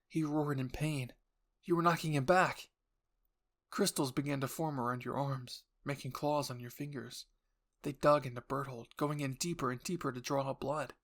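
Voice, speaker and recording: A man's voice: mid-range voice, creaky, fairly bright tone. A man reading dramatic scripted narration for a roleplay story, addressed to the listener in the second person.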